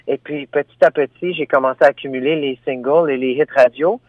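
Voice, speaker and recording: A man's voice: medium pitch, fairly even intonation, brisk, no room sound. A man with a Franco-Ontarian French accent, a singer talking about his album over the phone, hesitant and full of fillers. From Ottawa, Ontario. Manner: hesitant, many fillers and restarts. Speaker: singer guest. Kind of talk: phone interview. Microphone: telephone. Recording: tinny phone line.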